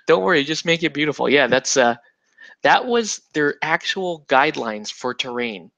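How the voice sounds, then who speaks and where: Guy hosting a mini building vlog, bright sharp voice, rambly and jokey, lots of uhs and likes, dry sound. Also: fairly high pitch, big pitch swings, normal speed, vocal fry, no echo, lo-fi sound. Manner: breaks into laughter and puts on silly voices. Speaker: miniatures hobby host. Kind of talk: casual chat while building models.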